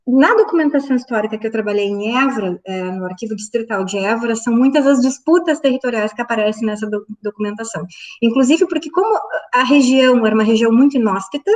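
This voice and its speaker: Woman, university lecturer; higher voice, halting delivery full of fillers, mic set back a bit. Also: a little mellow, far from the mic. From southern Brazil. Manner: halting, many fillers, checks in with the audience. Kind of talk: academic lecture.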